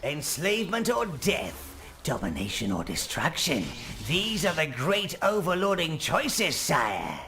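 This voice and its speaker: An actor playing an elderly, servile minion adviser in a video game, dryly sinister and theatrical. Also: male voice, fairly high pitch, huge pitch swings, unhurried, exaggerated voice. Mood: gleeful, scheming. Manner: obsequious, theatrical, dry understatement. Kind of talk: scripted video game character acting.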